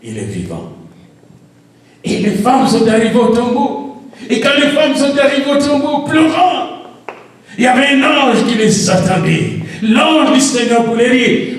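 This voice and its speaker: A man with a very high voice preaches in a French that is not his mother tongue, his lines climbing into shouted refrains. He sounds far from the microphone, and his voice rings through a big, echoing church hall. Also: slight background noise. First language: an African language. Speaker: pastor. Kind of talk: sermon.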